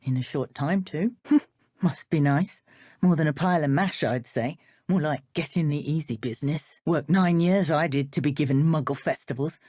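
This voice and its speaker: An actress playing a menacing, taunting dark witch in a video game, with a British accent and a contemptuous manner. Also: deep voice, moderate pace, no echo, clean studio sound. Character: menacing, sneering dark witch poacher, adult woman. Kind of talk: video game character voice lines.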